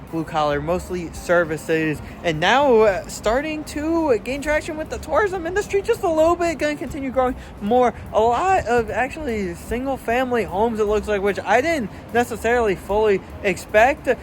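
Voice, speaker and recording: A man's voice: high-pitched, a little slow, hardly any pauses. Chatty man vlogging outdoors. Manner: chatty, thinks aloud with fillers. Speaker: travel vlogger. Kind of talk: casual walking travel vlog. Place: outdoors on city streets. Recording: street noise behind voice.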